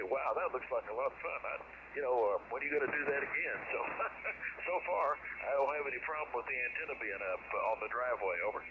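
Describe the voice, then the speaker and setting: A man talking as a ham radio operator, slow and thoughtful with many hesitations, heard over a radio link. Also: mid-range voice. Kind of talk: radio conversation. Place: home in Texas. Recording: dull radio signal with loud static.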